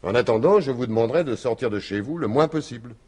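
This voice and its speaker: An actor playing a wily, courteous and evasive police commissioner in a French radio mystery in 1950s style. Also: male voice, mid-range voice, expressive, fast, very noisy, close to an everyday voice. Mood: evasive, soothing. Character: wily, placating male police commissioner, coolly scheming. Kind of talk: French radio drama acting in 1950s manner.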